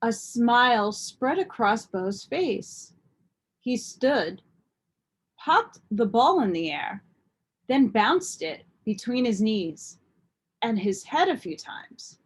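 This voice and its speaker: Expressive, unhurried woman reading a storybook. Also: fairly high pitch, slightly rough. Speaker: storybook reader. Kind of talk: expressive children's read-aloud narration.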